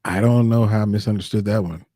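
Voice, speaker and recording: A man co-hosting a casual live sports chat, talking in an informal, easygoing and halting way. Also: fairly low pitch, fairly even intonation, warm tone, no room sound, studio quality. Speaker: live stream co-host.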